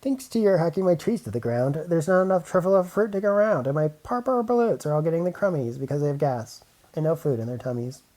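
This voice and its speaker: Man doing a grumpy, scolding little forest creature, pitched up. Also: animated, dark tone, lightly acted. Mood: indignant. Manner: indignant, snapping, drawn-out complaints.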